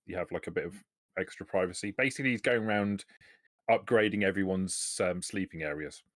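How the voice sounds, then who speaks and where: A man with a very deep voice plays a tabletop roleplaying game. He describes his character's actions casually, in a dry, close recording. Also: a little fast, fairly bright tone, dry recording.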